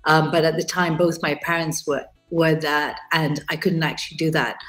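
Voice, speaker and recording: A woman's voice: low voice, flat delivery, moderate pace, a bit bright, faint music behind. A middle-aged woman from Kenya with a British accent, chatting casually about her life as a guest artist over a video call, with many fillers and restarts.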